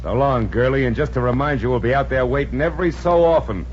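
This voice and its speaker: An actor playing a menacing, wisecracking gangster who talks in mock-polite slang in a 1950s radio comedy. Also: male voice, mid-range voice, quick pace, lightly acted. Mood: menacing, amused. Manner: slangy, mock-polite tough-guy talk. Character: menacing wisecracking male gangster debt collector. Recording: muffled, noisy old radio recording.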